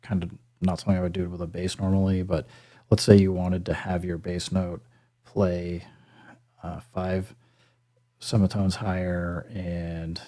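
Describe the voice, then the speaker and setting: A man's voice: flat delivery, measured pace, mellow. A man hosting a casual hands-on music gear tutorial, thinking aloud in a hesitant, self-deprecating way. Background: synth pad and bass sample demo sounds.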